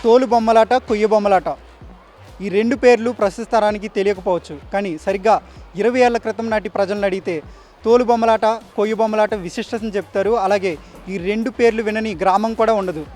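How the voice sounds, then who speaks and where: A man's voice: high voice, fairly even intonation, a little fast, a little mellow, faint music behind. A man reporting on location in the style of a news piece to camera. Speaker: field reporter.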